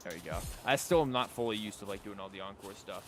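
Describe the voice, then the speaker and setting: A man's voice: fairly low pitch, fast talker. A man doing casual, rambling gameplay commentary as a streamer, with joking asides. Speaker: game streamer. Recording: loud music behind the voice. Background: game music.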